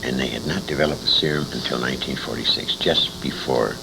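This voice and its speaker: A man's voice: brisk, creaky. An American man in his sixties telling anecdotes in an interview, in a dramatic, emphatic and salty storytelling manner. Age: 60s. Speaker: interviewee, former radio actor. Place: recorded interview at a convention. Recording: noisy 1980s amateur tape with rumble.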